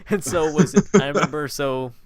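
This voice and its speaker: A man's voice: a little high, moderate pace, crowd noise. A man hosting a casual, jokey and informal interview chat. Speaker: podcast host.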